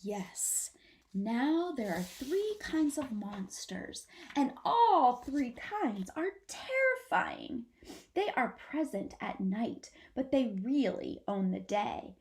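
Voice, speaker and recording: A woman's voice: on the high side, big pitch swings, slow, darkish tone, a little hiss or hum. A woman teacher reading a children's story aloud, adding simple explanations and speaking directly to her young listeners.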